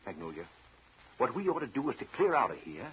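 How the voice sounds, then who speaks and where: Casting: man to play restless young husband, impassioned, lively pitch swings, dull old radio recording. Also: quick pace, natural voice. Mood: fed up, earnest. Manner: earnest, impassioned. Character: restless, discontented young husband, reluctant riverboat actor. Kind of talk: 1930s radio drama acting. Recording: dull, lightly noisy 1930s radio recording.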